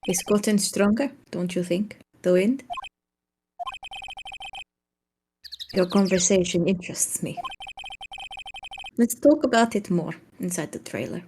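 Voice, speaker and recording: A woman playing a cold, menacing studio producer in a game dub, speaking with deliberate, cryptic condescension. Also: medium pitch, noisy background, lightly acted. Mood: aloof. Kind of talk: fan live dub of a visual novel game.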